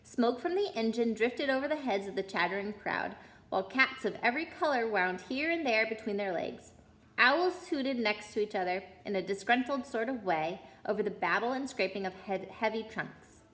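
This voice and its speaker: A woman storyteller reading a children's book aloud in an expressive style. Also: fairly high pitch, normal speed.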